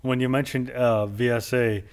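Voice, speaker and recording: A man's voice: mid-range voice, fairly even intonation, normal speed. A man hosting an interview show, talking casually and reminiscing in long, rambling sentences full of "like". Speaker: show host.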